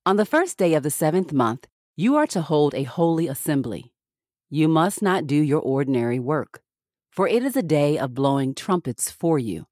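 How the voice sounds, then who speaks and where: A woman reads scripture aloud in a formal, deliberate, measured manner with clear phrasing. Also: deep voice, darkish tone, no room sound, clean studio sound. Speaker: podcast scripture reader.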